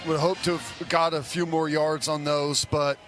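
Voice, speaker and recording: A man's voice: a little high, level tone, moderate pace, a bit bright, faint music behind. An American man giving informal, explanatory color analysis on a live football radio broadcast.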